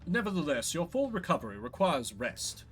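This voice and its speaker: A man's voice: fairly high pitch, very animated, normal speed, a bit bright, soft background music. A man voicing an earnest, fretful minstrel healer in a video game, with stiff, old-fashioned, wordy phrasing. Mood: worried, insistent.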